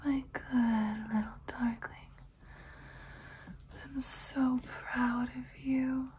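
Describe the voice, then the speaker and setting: A young woman voicing a soothing, nurturing vampire in a breathy ASMR whisper, gentle and reassuring. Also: a little high, fairly even intonation, very slow, a little hiss or hum. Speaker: ASMR roleplay host. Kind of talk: whispered ASMR personal attention roleplay.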